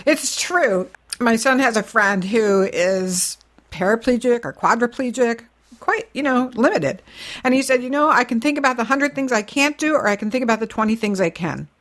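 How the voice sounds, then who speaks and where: A woman's voice: a little high, expressive, normal speed, a bit bright. A woman hosting an interview show, asking sympathetic and curious questions of her guests. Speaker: talk show host.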